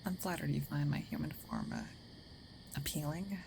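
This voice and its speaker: A woman playing a gruff but caring, territorial werewolf in an intimate ASMR roleplay, hesitant and often dropping into breathy half-whispers. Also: sits low, wildly swooping pitch, moderate pace, background noise, exaggerated voice. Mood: flustered. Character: gruff female werewolf, territorial yet caring, awkward protector.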